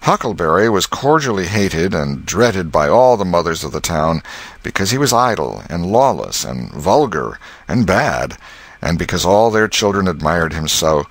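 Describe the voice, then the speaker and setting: Male audiobook storyteller: lowish pitch, expressive melody, dry recording, literary read. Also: no echo. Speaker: audiobook narrator.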